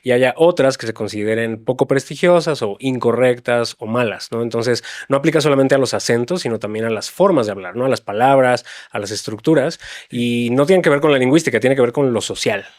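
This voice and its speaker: A man's voice: mid-range voice, a little fast, hardly any pauses, dry recording. Thoughtful man explaining, dry studio sound. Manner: thoughtful, explains with many hedges and fillers. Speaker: linguist and writer, guest. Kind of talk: explanatory conversation.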